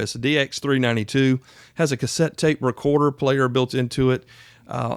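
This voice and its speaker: A man's voice: mid-range voice, no room sound. Casual American man, dry close sound. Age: 40s. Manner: conversational, folksy, addresses the listener directly. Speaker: host and instructor of a prepper radio show. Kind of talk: casual instructional talk.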